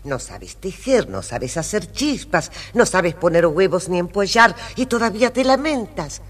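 Husky, high-pitched old crone. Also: male voice, sing-song, a bit bright, full character voice. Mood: scolding. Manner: nagging, slow. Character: grumbling, nagging little old woman. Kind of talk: audio storybook character acting. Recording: long reverberant echo.

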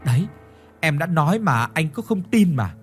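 A man's voice: fairly high pitch, big pitch swings, moderate pace, soft background music, pitched above the performer's own voice. A man voicing a frightened young woman who stammers and pleads.

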